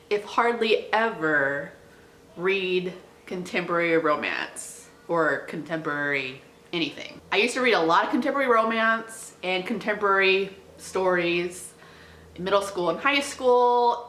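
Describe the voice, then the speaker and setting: A woman's voice: medium pitch, fairly bright tone, slight background noise. A young adult woman chatting casually about books as a vlogger, with a giggly, self-deprecating way of talking. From small town. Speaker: book vlogger. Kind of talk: casual chatty book recommendations. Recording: echoey untreated room.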